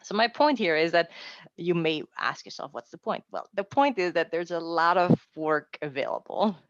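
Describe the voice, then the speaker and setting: A woman's voice: fairly low pitch, expressive, moderate pace, no echo, dull audio. A woman in her forties from Austria, raised in Mexico City, with an Austrian accent, giving an upbeat, chatty webinar talk on translation careers with self-deprecating asides. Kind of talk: encouraging presentation.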